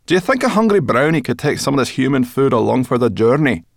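A brusque little brownie woman with sharp eyes and no patience, pushing everyone along in a storybook reading, as a man puts her on. The voice is pitched well up, gravelly at the edges, and sits dry and close. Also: sing-song, fast, no room sound. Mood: chatty. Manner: brusque, blunt. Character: impatient sharp-eyed female brownie.

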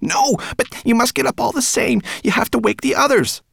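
A man voicing a peevish, indignant female rat in a storybook, shrill and squeaky, snappish and hissing. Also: a little fast, creaky, a bit bright, slightly rough, no echo, studio quality, heavily acted. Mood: frantic warning.

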